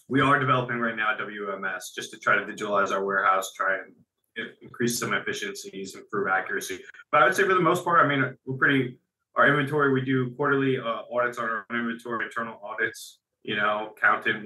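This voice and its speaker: A man's voice: fairly low pitch, sharp tone. A man speaking as a business guest in a casual interview, talking conversationally and repeating phrases as he restarts his sentences. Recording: echoey room.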